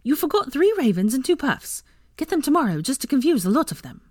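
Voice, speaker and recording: A woman's voice: high-pitched, very animated, brisk, darkish tone, no room sound, clean studio sound, voice pushed up. A woman voicing a fiery, scheming teenage witch in a story reading, bold and eager.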